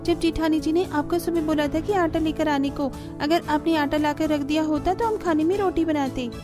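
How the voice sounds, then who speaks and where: High-pitched dutiful young daughter-in-law, acted by a woman. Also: fairly even intonation, moderate pace, music in the background, close to an everyday voice. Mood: defensive. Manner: deferential, earnest, pleading. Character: dutiful young village daughter-in-law, polite, practical, soothing. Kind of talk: Hindi family cartoon voice acting.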